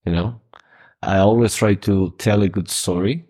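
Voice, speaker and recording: A man from Argentina co-hosting a podcast, explaining in a measured way. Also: lowish voice, dark tone, slightly rough. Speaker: podcast co-host. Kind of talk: casual conversational podcast.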